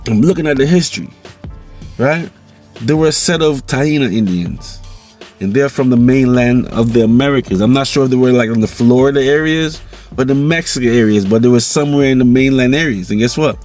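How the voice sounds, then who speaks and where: A man's voice: mid-range voice, darkish tone. A man from Jamaica with a Jamaican accent, talking loosely in a casual vlog monologue and often tossing in "you know". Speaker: vlog host.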